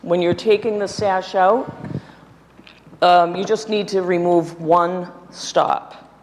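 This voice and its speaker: A workshop instructor walks through a practical demonstration, naming each part and working with tools, joking at their own expense now and then. The voice sits at a middle pitch and carries a long hall reverb with faint background noise, recorded live in the room as the speaker moves about. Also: fairly even intonation, slow pace. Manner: practical, step-by-step explaining while handling tools; chatty with self-deprecating asides. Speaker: window restoration instructor. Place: indoor workshop room before an audience.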